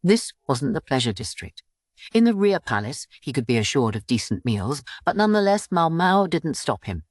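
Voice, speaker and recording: Lively low-voiced woman narrating an audiobook. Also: moderate pace, no room sound, studio quality.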